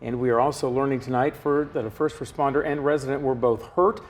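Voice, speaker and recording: A man's voice: medium pitch, fast talker. Male anchor reading scripted TV news.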